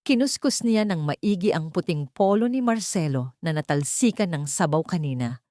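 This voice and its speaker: A woman narrating a dramatic romance audiobook in a measured, solemn storytelling manner. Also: lowish voice, expressive, mellow, no room sound, clean studio sound.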